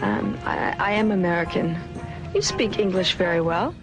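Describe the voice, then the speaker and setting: A woman's voice: lowish voice, animated, moderate pace, poor audio. Woman, scripted dialogue, friendly small talk, background music.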